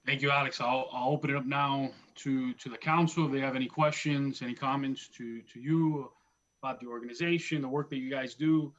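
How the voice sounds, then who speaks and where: A man's voice: medium pitch, fairly even intonation, a little fast, a bit bright, muffled recording. Man chairing a town meeting. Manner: appreciative, moves the meeting along. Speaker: mayor chairing a council meeting.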